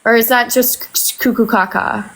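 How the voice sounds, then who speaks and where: A woman's voice: on the high side, steady tone, moderate pace, fairly bright tone, low-quality recording. Young woman caller in her twenties, stuffy and congested, rambling with many fillers. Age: 20s. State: congested from flu. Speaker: caller on a live stream. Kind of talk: casual advice-seeking chat.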